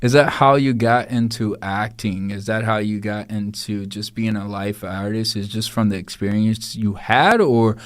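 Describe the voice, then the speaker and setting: A man's voice: on the low side, moderate pace, few pauses, no room sound. Upbeat male podcast host, dry sound. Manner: upbeat, repeats guest's words back. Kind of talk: casual interview.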